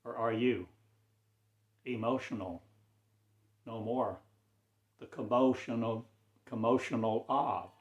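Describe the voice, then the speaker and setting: A man delivering a rambling spiritual monologue in a slow, drawn-out, cryptic declaiming manner. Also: medium pitch, fairly even intonation, reverberant, dull audio.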